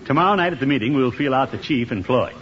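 An actor in a 1950s radio comedy playing a vain, blustery middle-aged commissioner who grumbles and exclaims pompously. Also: male voice, medium pitch, expressive, fast talker, close to an everyday voice. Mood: blustery, smug. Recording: noisy, muffled 1950s radio broadcast recording.

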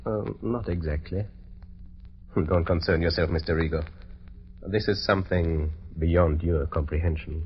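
An actor playing a cold-blooded, urbane doctor villain in an old-time radio drama, speaking with measured, polite menace and deliberate hesitations. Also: male voice, sits low, steady tone. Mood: quietly confident. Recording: dull, noisy old radio recording.

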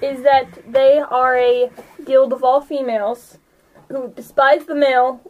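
A woman's voice: sits high, flat delivery, a little slow, narrow, tinny audio. A woman hosting and narrating a tabletop game as game master, in a casual style. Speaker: game master and podcast host.

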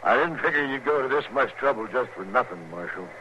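Cocky, bright-voiced western outlaw. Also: male voice, medium pitch, expressive, brisk. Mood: mocking. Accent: American. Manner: folksy, mocking, talkative. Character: cocky, needling captured frontier outlaw. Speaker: radio drama actor. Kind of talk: 1950s radio western acting. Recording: dull, noisy old radio recording.

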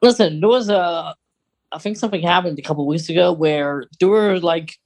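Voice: mid-range voice, normal speed, a little mellow. An American podcast co-host chatting casually in an informal, rambling, conversational way.

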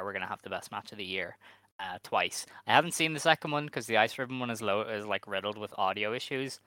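A man hosting a podcast in an upbeat, chatty way. His voice is smooth and clear, bright, and a little low. The recording is dry and close. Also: no echo. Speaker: podcast host. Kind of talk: casual chat.

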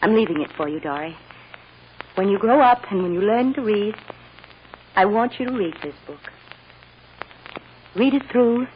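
An actress playing a tender, sorrowful mother in a hushed, halting 1940s radio drama style. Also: fairly low pitch, close to an everyday voice. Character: tender, sorrowful mother comforting her small daughter. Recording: muffled, noisy old radio recording.

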